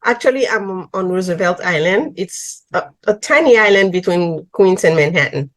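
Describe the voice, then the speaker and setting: An older woman from New York speaks on a video call, slow and gentle, often pausing to find her words. Her voice is somewhat low for a woman. The sound is dry, with no room echo. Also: lively intonation. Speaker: caller. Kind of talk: casual video call chat.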